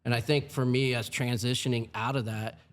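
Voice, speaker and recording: A man's voice: medium pitch, steady tone, fairly quick. A man in his forties, a firefighting supervisor, talking in an earnest, plain-spoken way with many asides in a casual podcast chat. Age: 40s.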